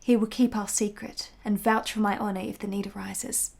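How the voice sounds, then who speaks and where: An actress playing a tender, secretive nineteenth-century mistress in a radio play, with formal, genteel phrasing. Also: female voice, medium pitch, level tone, normal speed, vocal fry, a little mellow. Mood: confiding, tender. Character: tender, adoring 19th-century Italian mistress, secretive and assured.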